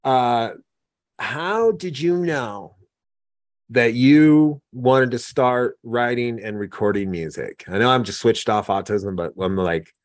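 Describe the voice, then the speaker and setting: A man's voice: mid-range voice, slow pace, no echo. Reflective male host, dry sound. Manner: reflective, musing, a little rambling. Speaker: podcast host. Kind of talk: casual interview conversation.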